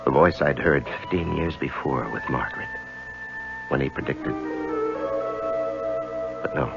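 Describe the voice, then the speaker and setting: Very deep, anxious radio-drama husband. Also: male voice, measured pace, gravelly, full character voice. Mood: brooding, confiding. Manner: hesitant, evasive, brooding first-person narration. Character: anxious husband haunted by voices, narrating his tale. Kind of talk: 1970s radio drama acting. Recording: dull 1970s radio recording, music behind.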